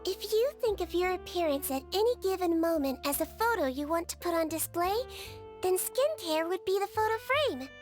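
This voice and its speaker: A woman voicing a small, sweet young female melusine nurse in a video game, with a childlike voice and a gentle, earnest, reassuring manner. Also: very high-pitched, animated, normal speed. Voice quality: childlike, girlish. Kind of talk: video game character voice lines. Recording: studio voice over game music.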